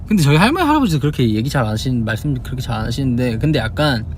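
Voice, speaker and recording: A man's voice: medium pitch, racing. A young man from Busan with a Busan Korean accent, chatting casually in a livestream, answering fan questions and demonstrating dialect phrases. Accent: Busan Gyeongsang Korean. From Busan, South Korea. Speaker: idol livestream host. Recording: steady car noise behind.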